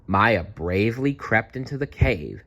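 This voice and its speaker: A man reading a children's story aloud in an expressive, deliberate storytelling manner. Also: lowish voice. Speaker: storybook reader. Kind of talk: children's read-aloud narration.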